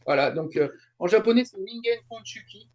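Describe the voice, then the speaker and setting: Higher-voiced man explaining his work haltingly. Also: animated, a little mellow. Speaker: interview guest, translator and event coordinator. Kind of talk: casual conversational interview.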